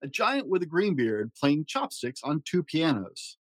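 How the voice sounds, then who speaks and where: A man reading a children's fairy tale aloud in a gentle storytelling manner. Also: very animated, measured pace, dry recording. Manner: gentle storytelling with varied pitch for emphasis. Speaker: storybook reader.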